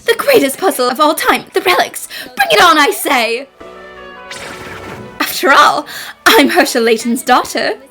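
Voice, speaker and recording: A woman plays an eager young cartoon detective. The voice is high and lively, rising and falling with earnest, plucky expression. Faint music is mixed in. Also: unhurried, exaggerated voice. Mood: determined, triumphant. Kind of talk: English fan dub of animated mystery.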